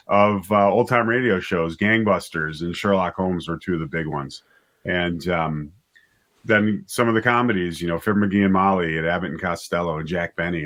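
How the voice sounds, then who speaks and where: Low-voiced middle-aged man, rambling enthusiast. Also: fairly bright tone. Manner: rambling, enthusiastic, repeats words as he thinks aloud. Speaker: interview guest, audio drama writer and director. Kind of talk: casual interview conversation.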